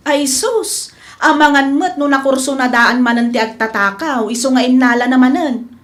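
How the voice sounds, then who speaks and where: A woman's voice: high-pitched, moderate pace, fairly bright tone. A woman playing an exasperated village housewife in a radio comedy drama, complaining with emphasis. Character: exasperated village housewife griping about thieves.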